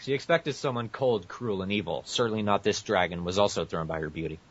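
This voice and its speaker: A very low-pitched man reads fan fiction aloud in a casual podcast style, stumbling over words and correcting himself. The recording is dull and lo-fi. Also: a little fast, slightly rough. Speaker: podcast co-host. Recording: muffled, echoey lo-fi podcast audio.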